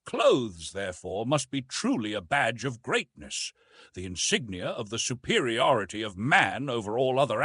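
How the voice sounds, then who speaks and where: Expressive male audiobook narrator, slightly husky. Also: medium pitch, dry recording. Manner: dramatic, expressive storytelling. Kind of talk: scripted narration of an adventure novel.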